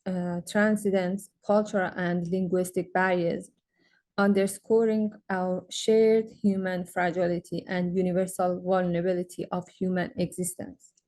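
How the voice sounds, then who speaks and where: A woman's voice: mid-range voice, mellow. A young woman with a Persian accent, a native Farsi speaker, giving an academic symposium talk about her artwork, reading prepared text with frequent fillers and restarts. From Iran. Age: young adult. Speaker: graduate student artist presenting.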